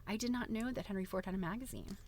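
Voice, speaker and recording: Expressive woman reading aloud, chatty. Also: mid-range voice, fast talker. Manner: giggly, reacts expressively to what is read. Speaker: host of a live book reading.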